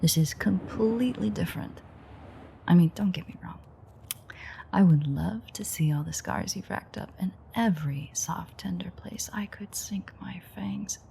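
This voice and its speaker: A woman's voice: lowish voice, measured pace, warm tone, slight background noise. A woman playing a playful, flirtatiously menacing ancient vampire in an intimate roleplay audio drama, murmuring wryly and often close to a whisper. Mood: teasing, hungry. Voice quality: breathy, husky, often near-whisper. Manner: teasing, wry, murmured.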